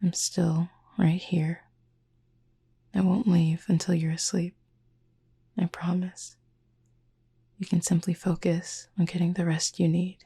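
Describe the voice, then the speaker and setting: Breathy, gravelly, whispering cupid played by a woman. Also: on the low side, little pitch movement, exaggerated voice. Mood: soothing, reassuring. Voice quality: breathy, husky half-whisper. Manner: measured, formal, gently teasing. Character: calm, proud, softly caring cupid roommate lulling someone to sleep. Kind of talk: whispered roleplay sleep-aid audio.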